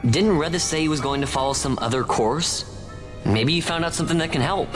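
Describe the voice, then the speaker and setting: An actor playing an earnest, naive young adventurer in a video game, with a casual, boyish, questioning manner. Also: male voice, a little high, a little fast, soft background music, lightly acted. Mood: puzzled, trusting. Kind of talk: video game cutscene acting. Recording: game score behind dialogue.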